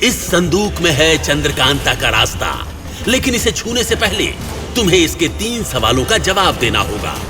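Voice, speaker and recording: A man playing a mysterious, stern guide in an audio drama, speaking ominously and deliberately as he sets tests. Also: on the high side, very animated, music in the background. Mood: solemn testing. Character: mysterious stern male guide who tests heroes with riddles.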